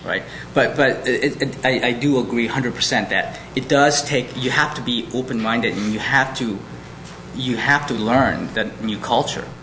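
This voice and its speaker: A man's voice: medium pitch, unhurried, bright, forceful tone, slight background noise, poor audio. A man speaking on a business panel who often repeats words as he starts sentences. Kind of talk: panel discussion.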